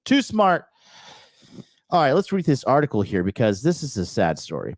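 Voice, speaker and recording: A man hosting a livestream, reading an article aloud and answering the chat in a blunt, crude way, with a raspy, slightly nasal voice. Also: lowish voice, darkish tone, no echo. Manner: blunt, crude, talks back to live chat. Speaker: podcast host.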